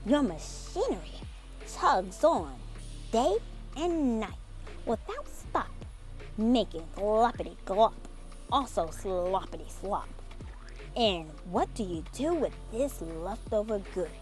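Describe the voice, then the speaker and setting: A woman voicing a small, indignant male forest creature in a children's storybook, scolding and plaintive with deliberate phrasing. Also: mid-range voice, big pitch swings, a little mellow, faint music behind. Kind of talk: children's storybook read-aloud.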